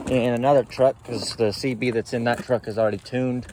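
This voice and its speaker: A man's voice: mid-range voice, fairly even intonation, a little fast, a little mellow, slight background noise. A man hosting a casual how-to tutorial from inside a truck at night, rambling and grumbling at his gear. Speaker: channel host showing truck repairs.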